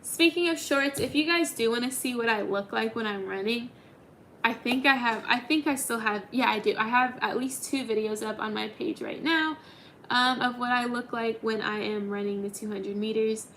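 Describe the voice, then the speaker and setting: A woman's voice: fairly high pitch, moderate pace, a bit bright, slight background noise. Chatty young woman on a phone livestream. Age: 20s. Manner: chatty, answers comments as they come, many ums. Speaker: livestream host. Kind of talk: casual livestream chat reading viewer comments.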